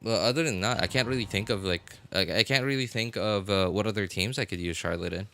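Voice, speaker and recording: A man's voice: fairly low pitch, fast, bright, forceful tone, slight background noise. A man casually talking to viewers while live streaming a game, thinking aloud and often repeating himself.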